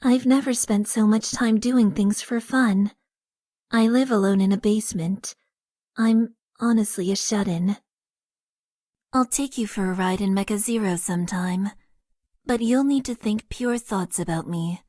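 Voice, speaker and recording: An actress playing a stoic young female mecha pilot who holds back her emotions, speaking in a flat, deadpan way. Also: medium pitch, unhurried, no room sound, studio quality, lightly acted. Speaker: game character. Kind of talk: dating sim voice lines.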